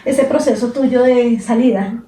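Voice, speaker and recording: A woman in her forties chats easily on a podcast, sprinkling her speech with fillers. Her voice sits a little high but sounds warm and mellow. She is picked up from a distance in an echoey room. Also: a little slow. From Colombia. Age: 40s. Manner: relaxed, many fillers like "pues". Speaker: podcast co-host. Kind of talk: casual conversation.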